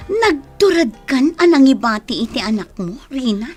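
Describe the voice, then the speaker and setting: A woman's voice: high-pitched, big pitch swings, normal speed, over music. An actress playing a woman who scolds and advises her best friend in a radio drama. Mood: chiding. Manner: chiding, advising.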